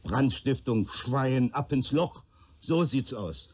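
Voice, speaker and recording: An actor playing a talkative, self-justifying peddler accused of arson in a radio play, colloquial, rambling and sardonic. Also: male voice, medium pitch, animated, takes it slowly, a little mellow, breathy edge. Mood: bitter.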